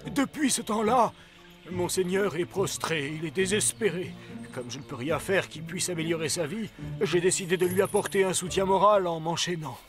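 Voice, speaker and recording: An actor playing a devoted, mournful servant to a cursed prince in a cartoon, formal, hesitant and deferential. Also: male voice, sits high, normal speed, music in the background, lightly acted. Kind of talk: French cartoon dubbing.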